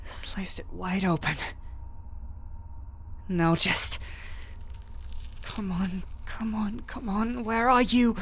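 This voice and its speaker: A woman playing a gentle, desperate doctor in a fantasy audio roleplay, with halting, emotive, breathy delivery. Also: mid-range voice, slight background noise. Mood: anxious pleading. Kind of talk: dramatic audio roleplay acting.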